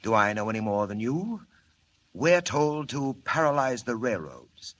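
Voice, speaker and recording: A cold criminal mastermind from a 1940s radio serial. He speaks in a hard, forceful voice, deliberate and formal, and every order carries a quiet threat. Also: mid-range voice, very animated. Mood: cold, ominous. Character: cold, menacing male criminal mastermind called the Wolf.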